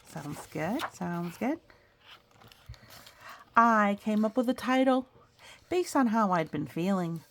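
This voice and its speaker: A woman's voice: mid-range voice, vocal fry. A woman reading a picture book aloud as a storyteller, expressively, with chatty, explanatory asides for young listeners.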